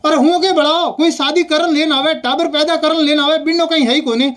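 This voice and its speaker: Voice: high-pitched, fairly even intonation, fairly quick, over a noisy crowd. A speaker with a Rajasthani accent arguing heatedly and insistently on a phone call, often repeating points. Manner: insistent, repetitive.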